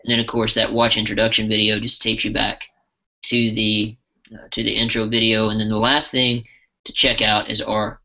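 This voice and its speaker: A man walks through a software tool in a casual live demo, his voice bright with a gravelly edge. He talks loosely and restarts his phrases often. The sound is dull and lo-fi, like webinar audio. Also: fairly low pitch, completely flat delivery, moderate pace. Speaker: software trainer.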